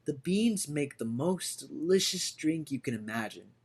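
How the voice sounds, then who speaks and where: Man reading as earnest, generous storybook god, husky. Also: a little high, animated, a little slow, full character voice. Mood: proud, encouraging. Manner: earnest, declaiming. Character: bold, generous male god with caring temper. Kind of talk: storybook character voice.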